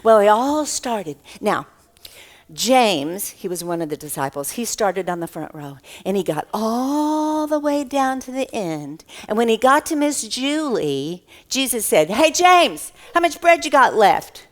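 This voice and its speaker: A woman's voice: mid-range voice, big pitch swings, unhurried. A woman telling Bible stories live to children in a theatrical way, with repetition and questions. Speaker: children's storyteller at church Bible school.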